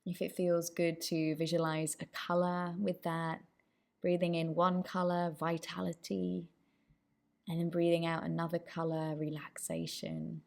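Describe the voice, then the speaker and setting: A woman leading a guided meditation as the instructor, with gentle, inviting instructions. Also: fairly low pitch, fairly even intonation, measured pace, vocal fry.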